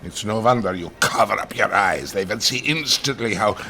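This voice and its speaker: An actor playing a cynical, superior anarchist in a radio play, taunting in an arch, mocking manner. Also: male voice, fairly low pitch, normal speed, pushed and bright, a little hiss or hum.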